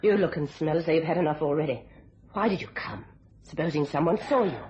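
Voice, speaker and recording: An actress playing a curt, scornful, greedy British schemer in a radio thriller. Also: female voice, low voice, fast, a little mellow, a little hiss or hum, close to an everyday voice. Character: cold, scheming, greedy femme fatale. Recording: dull lo-fi radio drama recording.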